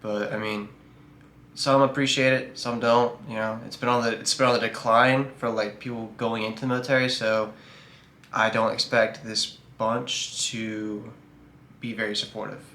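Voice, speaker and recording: A man giving loose, joking reaction commentary, cursing now and then. His voice sits at a middling pitch and rings in an echoey room with a faint hiss underneath. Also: flat delivery, moderate pace, sharp tone. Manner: loose, joking, swears casually. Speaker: reaction video host. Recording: roomy echo, faint noise.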